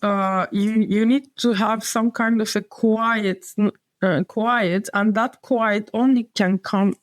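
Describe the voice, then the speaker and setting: A woman's voice: medium pitch, fairly even intonation, takes it slowly, no room sound. Hesitant Turkish-accented woman, dry sound. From Turkey. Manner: hesitant, restarts and circles back. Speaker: guest, designer and publisher. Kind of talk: casual interview conversation.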